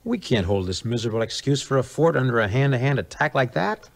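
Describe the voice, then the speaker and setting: Casting: anxious, complaining sidekick, animated mid-range voice, vintage 1950s audio play. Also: male voice, mid-range voice, fast talker, creaky. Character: nervous, grumbling fort companion.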